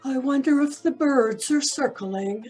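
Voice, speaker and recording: Female narrator for radio-style drama: wry, unhurried, hesitant informal delivery. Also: high voice, a little mellow, husky, faint music behind, poor audio. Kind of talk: informal live narration between scenes.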